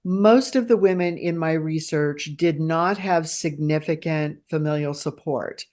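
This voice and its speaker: A middle-aged woman from the United States, a researcher and guest, answering in an earnest, measured, academic way. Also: deep voice, a little mellow. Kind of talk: conversational interview answer.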